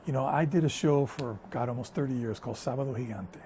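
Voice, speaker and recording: A man's voice: mid-range voice, fast, a little hiss or hum. A man from the United States, a guest telling stories in a casual conversation. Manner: storytelling, animated and talkative.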